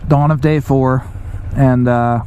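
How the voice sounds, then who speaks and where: A man's voice: mid-range voice, fairly even intonation, slow, warm tone, lo-fi sound, a little hiss or hum. A young man telling a personal story to viewers in a casual, blunt, heavily sweary way. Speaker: storytime host.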